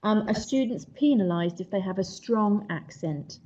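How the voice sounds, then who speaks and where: A woman hosting a webinar, calmly reading out audience questions to her guest. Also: medium pitch, normal speed, dull audio, a little hiss or hum. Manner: calm, reads out questions. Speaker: webinar host. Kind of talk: moderating questions.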